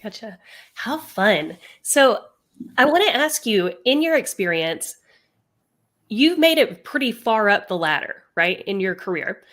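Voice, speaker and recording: A woman's voice: medium pitch, very animated, a little slow, a bit bright. Upbeat woman podcast host. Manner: upbeat, enthusiastic interviewer. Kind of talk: conversational podcast interview.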